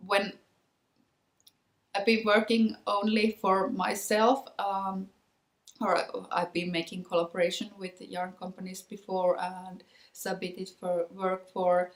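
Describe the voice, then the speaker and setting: A young adult woman with a Finnish accent hosts her knitting video podcast in a relaxed chat to camera, often pausing with ums and restarting her sentences. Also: medium pitch. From Finland. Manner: hesitant, many ums and restarts. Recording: echoey room.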